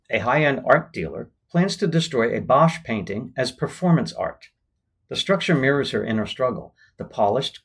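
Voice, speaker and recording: A man narrating film reviews to viewers as a vlog host, in a measured, scripted way. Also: medium pitch, husky.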